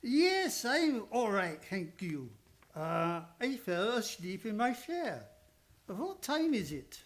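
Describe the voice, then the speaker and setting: A man playing a fussy, shrewd elderly professor in a radio drama, speaking deliberate broken English with dropped articles. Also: sits high, big pitch swings, exaggerated voice. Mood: drowsy, knowing. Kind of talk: old-time radio drama acting.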